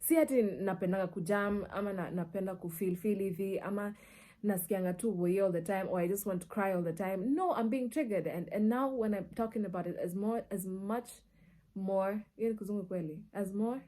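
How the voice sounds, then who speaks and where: A woman's voice: medium pitch, fairly quick. A woman with a Kenyan accent telling personal stories casually and expressively, slipping between languages and acting out dialogue. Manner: expressive, acts out dialogue and reactions. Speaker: media personality and storyteller. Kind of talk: casual personal storytelling, mixing in Swahili.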